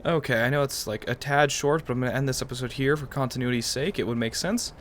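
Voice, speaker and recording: Casting: male gaming host, medium pitch, casual roleplay commentary over faint game ambience. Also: fast talker, fairly bright tone, slight background noise. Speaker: gaming video host and player.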